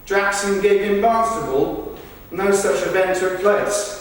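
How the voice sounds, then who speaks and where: A man's voice: sits high, steady tone, takes it slowly. A man delivering a rehearsed verbatim theatre monologue, recorded from a distance in a very echoey rehearsal room. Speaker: stage performer. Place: large reverberant indoor rehearsal room.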